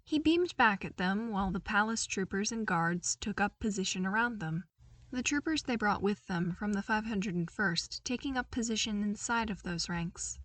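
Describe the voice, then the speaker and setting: A woman reading a scripted story aloud as an audiobook-style narrator, with expressive, emphatic delivery. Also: medium pitch, normal speed, no echo, distant mic. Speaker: podfic narrator, audiobook reader.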